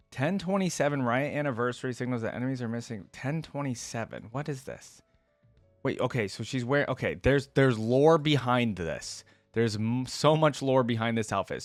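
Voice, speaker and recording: A man's voice: mid-range voice, no room sound. A man giving casual reaction commentary as a video host, thinking aloud in informal, slangy language.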